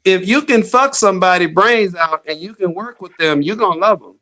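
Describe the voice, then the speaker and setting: A man talks bluntly and emphatically into an online call mic, much too loud for the channel. His voice sits high and bright, and he swears as he pushes his points. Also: brisk. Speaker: panel guest.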